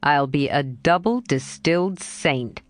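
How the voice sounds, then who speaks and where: A woman voicing a cheerful, teasing young man in a slightly lowered, coaxing voice. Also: takes it slowly, no echo, studio quality. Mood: defensive. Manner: lightly lowered, coaxing, playful.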